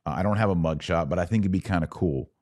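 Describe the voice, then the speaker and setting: A low-pitched American man hosts a live commentary podcast in a casual, conversational way. He stretches key phrases for emphasis and likes to pose rhetorical questions. The recording is dry, with very little room sound. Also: fast, darkish tone, dry recording. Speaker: podcast host.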